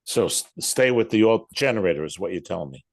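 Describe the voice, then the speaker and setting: A man with a deep, low voice takes part in a casual group call. He talks informally, as one hobbyist among others. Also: lively intonation, fast talker. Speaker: club member asking questions. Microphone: conference call.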